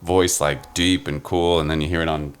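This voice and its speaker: A man's voice: rumbling low, fairly even intonation, normal speed, clean tone. A man in his thirties co-hosting a podcast, chatting casually and laughing often. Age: 30s. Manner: laid-back, laughs often. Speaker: podcast co-host. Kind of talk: casual chat.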